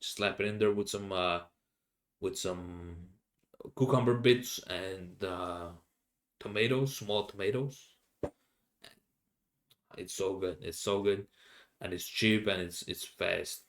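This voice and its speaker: A young man with a Finnish accent hosts his own podcast in a loose, rambling way. His voice sits a little low, and his English comes out haltingly, full of fillers and restarts as he hunts for words. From Finland. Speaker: podcast host. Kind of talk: casual solo rambling.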